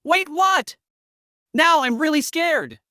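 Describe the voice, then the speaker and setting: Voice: high voice, lively intonation, takes it slowly, pushed and bright, no room sound, clean studio sound. A presenter improvising dramatic mock breaking-news commentary for a fictional emergency scenario.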